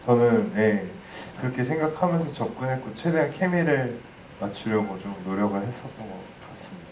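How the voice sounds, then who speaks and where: A man's voice: medium pitch, expressive, a little fast, darkish tone. A man moderating a post-screening audience talk, speaking casually and hesitantly with many restarts. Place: cinema hall. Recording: roomy, distant mic, faint noise.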